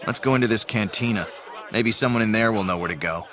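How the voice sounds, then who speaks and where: An actor playing a gruff, exasperated space smuggler pilot in an audio drama, wry and impatient. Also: male voice, on the low side, fast, a bit bright, a faint crowd, close to an everyday voice. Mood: wry, practical. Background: music.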